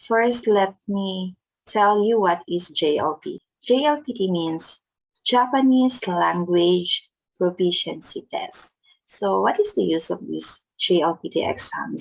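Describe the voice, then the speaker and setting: Husky Filipino woman, low and gravelly. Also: low-quality recording. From Philippines. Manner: earnest, explains step by step. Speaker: vlogger teaching Japanese test tips. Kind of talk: casual vlog narration.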